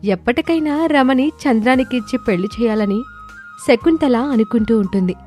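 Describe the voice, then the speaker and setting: A woman narrating a scripted moral story in a clear storytelling delivery. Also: a little high, expressive, normal speed, dark tone. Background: background music.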